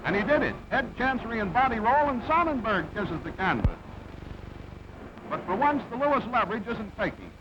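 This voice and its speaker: A man's voice: high-pitched, sing-song, a little fast. A man giving punchy, present-tense play-by-play sports commentary in an old newsreel style. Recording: dull, very noisy old film soundtrack.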